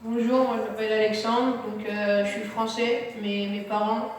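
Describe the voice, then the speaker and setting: A man's voice: high-pitched, level tone, normal speed. A young male speaker introducing himself informally, with some hesitation. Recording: echoey hall, distant mic, faint noise.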